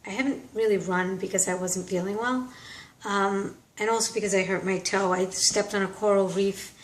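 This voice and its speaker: A woman vlogger talking casually and earnestly in a rambling, reflective way, her voice slightly hoarse from a cold. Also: mid-range voice, fairly even intonation, normal speed. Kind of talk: casual reflective monologue to camera. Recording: roomy, distant mic, faint background noise.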